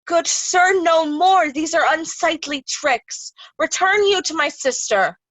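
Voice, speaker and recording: A woman's voice: extremely high pitch, lively intonation, slow, fairly bright tone, no room sound, exaggerated voice, rough recording. A woman playing a cool royal daughter, formally admonishing her old father in Shakespearean style. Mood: reproving. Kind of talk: Shakespearean reader's theatre acting.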